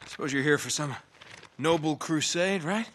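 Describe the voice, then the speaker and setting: An actor with an American accent playing a wisecracking, roguish adventurer in a casual, sarcastic way for a video game. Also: male voice, on the high side, normal speed, a bit bright, natural voice. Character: wisecracking, roguish male treasure-hunting adventurer. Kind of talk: naturalistic video game cutscene acting. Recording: faint background noise.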